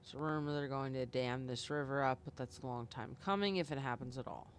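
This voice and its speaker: A man's voice: medium pitch, a little fast, a little hiss or hum. A man streaming a game live, giving informal commentary and reading aloud as he plays.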